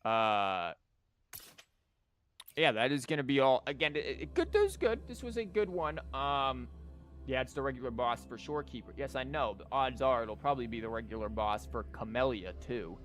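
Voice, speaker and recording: A man's voice: medium pitch, big pitch swings, moderate pace, fairly bright tone, faint music behind. A man giving casual livestream commentary on a game, rambling informally and thinking aloud with false starts. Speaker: video game streamer.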